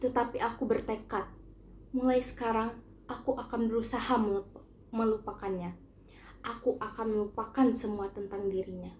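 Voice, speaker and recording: Bright young female narrator, echoey room. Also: on the high side, fairly even intonation, moderate pace, slight background noise. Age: teenager. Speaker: student narrator. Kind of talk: scripted short story narration, read aloud.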